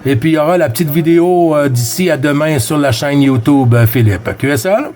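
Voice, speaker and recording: A man with a Quebec French accent chatting casually as a CB radio operator, friendly, with halting phrasing and repeated words. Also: on the high side, darkish tone. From Quebec, Canada. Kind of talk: casual radio chat with callsigns.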